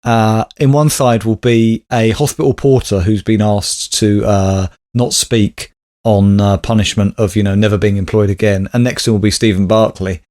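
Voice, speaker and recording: A man's voice: on the low side, fairly even intonation, normal speed, a little mellow. A man co-hosting a comic podcast, teasing his partner and pushing listeners for reviews in a wry manner. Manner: wry, teasing, assertive sign-off. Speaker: podcast co-host. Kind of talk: comedic podcast chat.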